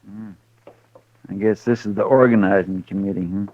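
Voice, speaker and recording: An actor playing a respectful, folksy sidekick to a frontier marshal, in old-time radio western style. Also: male voice, lowish voice, steady tone, moderate pace, a little mellow, close to an everyday voice. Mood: curious. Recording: dull vintage radio recording.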